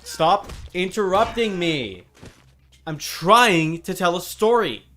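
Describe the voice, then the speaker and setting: A man's voice: high-pitched, lively intonation, slow, bright, forceful tone. A man giving casual live commentary as he streams a video game, rambling and easily sidetracked. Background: game music.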